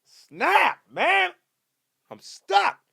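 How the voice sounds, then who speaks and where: A man in his twenties doing a casual comedic podcast monologue, animated and breaking into loud high-pitched bursts when he acts out other people. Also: drawn-out words, gravelly, dry recording, studio quality. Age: 20s.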